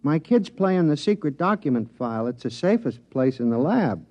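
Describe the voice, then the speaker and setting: An actor playing a talking lab mouse, a naive, henpecked family man with a homespun, excitable manner, in 1950s radio comedy style. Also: fairly high pitch, fairly quick. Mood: indignant, boastful. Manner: homespun, naive, indignant when accused. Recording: muffled old radio broadcast.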